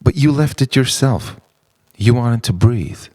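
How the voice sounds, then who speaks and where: A man narrating an introspective spoken-word monologue in halting, musing phrases full of rhetorical questions. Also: medium pitch, animated, a little mellow, no echo. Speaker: narrator of a personal art film.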